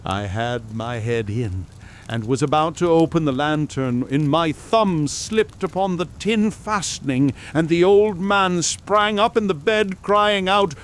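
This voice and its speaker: A man voicing an obsessed, cunning madman in dramatic gothic storytelling, theatrical and deliberate, dropping into hushed emphasis. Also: on the high side, natural voice. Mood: gloating suspense. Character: obsessed, cunning madman boastfully confessing a murder. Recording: steady background noise of fire and rain. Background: crackling fire and rain.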